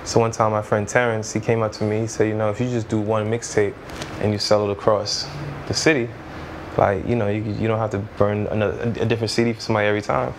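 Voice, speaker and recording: A man's voice: lowish voice, level tone, quick pace, noisy background. A man in his thirties from Trinidad, raised in Brooklyn, telling stories in a loose, rambling interview way. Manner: loose, rambling, lots of "you know what I mean". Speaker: music executive guest. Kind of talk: casual interview storytelling.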